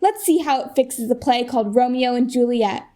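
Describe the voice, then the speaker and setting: Polished young American saleswoman, acted by a woman: high voice, emphatic presentational delivery, radio comedy. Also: animated, normal speed, mellow, a little hiss or hum, natural voice. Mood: earnest, persuasive. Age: young adult. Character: earnest, polished corporate saleswoman Shelley pitching a product. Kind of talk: comic radio play, mock keynote presentation.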